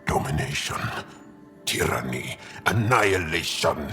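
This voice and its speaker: A brooding alien resistance fighter in a sci-fi game. He talks slowly and intensely, halting between words with a widely moving pitch. Music plays prominently behind him. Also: lively intonation, sharp tone, exaggerated voice. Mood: bitter, grieving. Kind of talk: video game voice acting.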